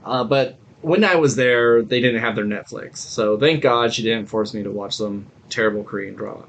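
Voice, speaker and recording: A man's voice: medium pitch, normal speed, gravelly, fairly bright tone. Irreverent man chatting casually. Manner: irreverent, swearing, digressive storyteller. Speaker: podcast co-host. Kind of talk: casual chat.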